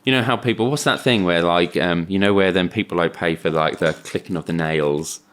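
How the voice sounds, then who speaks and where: A woman with a deep, monotone voice, co-hosting a casual chat podcast in a cold barn, laughing often and rambling. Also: fairly quick. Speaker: podcast co-host.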